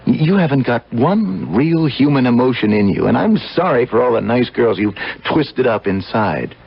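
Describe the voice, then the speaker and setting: An actor playing an earnest, disapproving young American psychiatrist in a 1970s radio drama, with a husky, reproving voice. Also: male voice, mid-range voice, big pitch swings, moderate pace, exaggerated voice. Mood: stern warning. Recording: dull 1970s radio recording.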